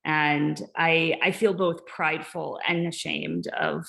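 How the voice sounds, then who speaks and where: Gravelly woman with faint Southern accent. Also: low-pitched, little pitch movement, takes it slowly, fairly bright tone. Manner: reflective, with frequent restarts. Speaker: visiting artist. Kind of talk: artist talk Q&A. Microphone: video call.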